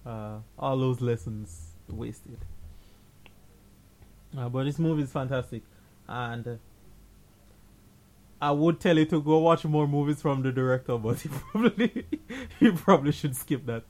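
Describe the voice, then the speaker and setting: A man's voice: mid-range voice, measured pace, pauses often, a little mellow. A man co-hosting a movie podcast, chatting casually, teasing his co-host and laughing a lot. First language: not Danish; speaks English. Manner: laughing, teasing, blunt opinions. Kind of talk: casual chat about movies.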